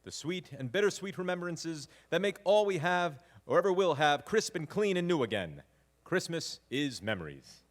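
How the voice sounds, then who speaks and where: A man's voice: fairly high pitch, sing-song, moderate pace. An actor playing a genial, fumbling father who tells Christmas stories in a live stage audio drama. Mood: wistful, playful. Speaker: father and narrator. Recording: live hall recording with long reverb.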